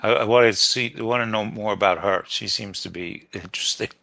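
A man's voice: fairly low pitch, fairly quick, creaky, pushed and bright, no room sound. A man co-hosting a podcast in casual chat, tentatively groping for names and details. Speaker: podcast co-host.

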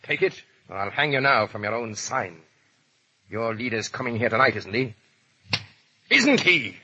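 An actor playing a threatening French Republican official, barking harshly in a 1930s radio drama. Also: male voice, mid-range voice, big pitch swings, moderate pace, bright, forceful tone, husky, heavily acted. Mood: menacing. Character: menacing French Republican official making an arrest. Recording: muffled 1938 radio broadcast.

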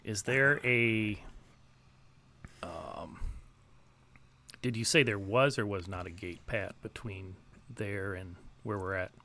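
A man playing in a tabletop game, relaxed and a little hesitant. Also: fairly low pitch, slow, long pauses. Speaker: player. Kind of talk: casual game chat.

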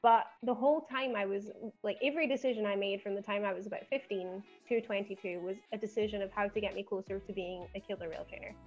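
A young woman with a softened Glaswegian lilt talks in an earnest, chatty way, laughing easily as she goes. Her voice sits at an ordinary middle pitch. The sound is a little dull and lo-fi, with faint music underneath. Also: fairly even intonation, fast talker. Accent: softened Glaswegian Scottish. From Scotland. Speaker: podcast guest. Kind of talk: casual chat.

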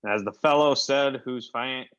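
Man as shy genial celebrity speaker, medium pitch, mild formal speechmaking, radio play, dull recording. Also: normal speed, a bit bright, close to an everyday voice. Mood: genial, bashful. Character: mild-mannered, shy celebrity giving a genial hobby lecture. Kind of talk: radio play public address.

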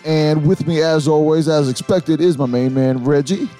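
A man hosting a casual sports podcast, a bit hoarse, talking in a rambling, halting way. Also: on the high side, fairly even intonation, mellow, faint music behind. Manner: rambling, halting, with many restarts and fillers. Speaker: podcast host.

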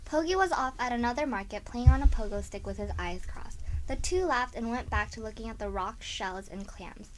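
A woman's voice: on the high side, brisk, few pauses, rough recording. A woman reading scripted narration for a children's story as the narrator. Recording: faint background noise.

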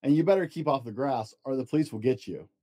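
A man's voice: mid-range voice, fast talker, mellow, natural voice. A man voicing a sassy, stubborn, clever little sister in a storybook, cocky and insistent. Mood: proud.

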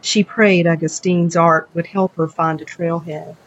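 A woman's voice: fairly low pitch, steady tone, a little slow. A woman writer reading her own short story as scripted literary narration. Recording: dull lo-fi audio, background noise.

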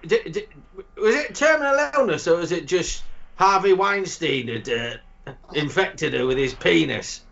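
High gravelly guy rambling crude jokes, stammers then shouts, bit muffled. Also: lively intonation, measured pace. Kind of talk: crude comedic chat. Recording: slightly muffled with faint noise.

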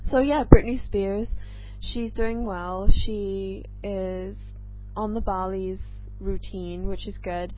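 A young woman from England hosts a casual podcast, her mixed accent leaning mostly American. She talks slowly and nervously, drifting between thoughts with plenty of ums. The recording sounds dull and lo-fi, with faint music underneath. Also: medium pitch, fairly even intonation. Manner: nervous and rambling, lots of ums. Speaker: podcast host. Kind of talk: casual solo chat.

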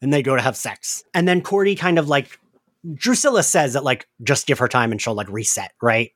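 A man co-hosting a casual recap chat, talking in an animated, conversational way with the odd swear word. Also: mid-range voice, a little fast, dry recording, studio quality. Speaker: podcast co-host.

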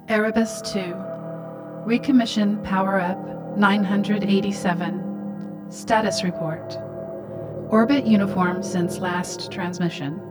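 Woman acting as slow-speaking satellite transmission voice. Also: mid-range voice, close to an everyday voice. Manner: measured, detached recitation. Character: cold satellite voice transmitting status reports. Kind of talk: radio play acting.

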